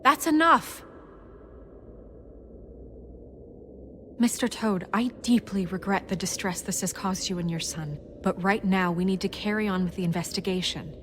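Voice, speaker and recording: A woman's voice: medium pitch, moderate pace, few pauses, a bit bright, slight background noise, lightly acted. A woman playing a firm, independent fairy-tale official in a video game, earnest and articulate.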